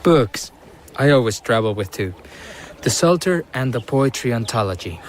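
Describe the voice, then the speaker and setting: A man's voice: medium pitch, animated, moderate pace, a little hiss or hum, natural voice. An actor playing a polite, earnest, bookish young man, a traveller in a radio drama.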